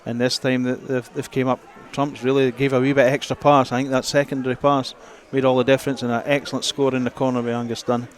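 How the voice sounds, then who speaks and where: A man's voice: medium pitch, flat delivery, fast, few pauses. A man giving conversational analysis as co-commentator on a live rugby broadcast, outdoors at the ground.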